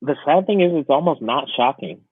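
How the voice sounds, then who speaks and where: A man's voice: a little high, animated, fairly quick, breathy edge, dry recording. A man co-hosting a podcast in casual, conversational chat. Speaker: podcast co-host. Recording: tinny, phone-like, dry sound.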